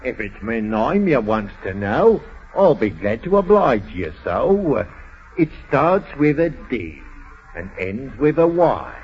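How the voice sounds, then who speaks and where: A fussy quaint old fellow. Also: male voice, mid-range voice, very animated, takes it slowly, slightly rough, heavily acted. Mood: chatty. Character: fussy old-fashioned little man disturbed at tea. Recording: dull old radio recording with faint hiss.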